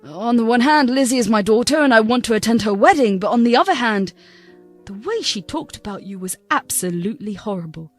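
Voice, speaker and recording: A woman's voice: on the high side, animated, brisk, faint music behind. A woman voicing a middle-aged father who scolds his daughter sternly in defense of his wife. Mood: troubled.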